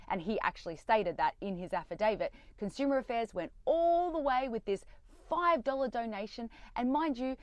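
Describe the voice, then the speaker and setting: A woman's voice: mid-range voice, dry recording. A woman speaking outspokenly and with emphasis, answering interview questions and giving a direct update. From Australia. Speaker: campaigner being interviewed.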